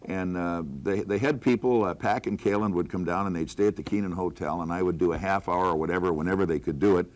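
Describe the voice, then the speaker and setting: Older man, veteran broadcaster, very low-pitched, unscripted recollection of working days. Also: fast, a little mellow. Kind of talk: unscripted reminiscing among colleagues.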